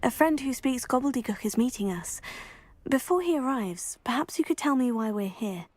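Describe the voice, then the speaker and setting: An actress voicing a curious, direct young witch student in a video game, speaking briskly and asking pointed questions. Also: sits high, animated, darkish tone, no echo. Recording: dry studio game dialogue.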